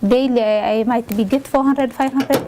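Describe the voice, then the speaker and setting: Female tailor interviewee, high voice, halting delivery, clear workshop noise behind. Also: fairly even intonation. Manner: halting, simple second-language English. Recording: noisy tailoring workshop with clicking.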